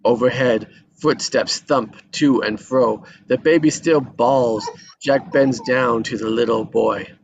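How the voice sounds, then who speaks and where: A man's voice: medium pitch, slow pace. A man narrating a screenplay's action lines at a table read, sometimes tripping over and repeating words. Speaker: reader of stage directions. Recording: dull lo-fi sound with background noise.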